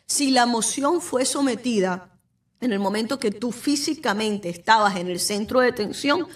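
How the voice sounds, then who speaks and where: Venezuelan lady lawyer explaining stuff directly to you, bit high voice, mic kinda far. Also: normal speed. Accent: Venezuelan Spanish. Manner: explanatory, direct, speaks to the listener. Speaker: immigration lawyer, podcast host. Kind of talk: informative solo talk.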